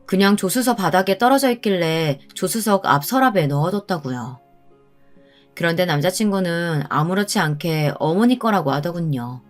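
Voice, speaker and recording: A woman's voice: on the low side, animated, normal speed, faint music behind. A woman reading a scripted first-person story as its narrator.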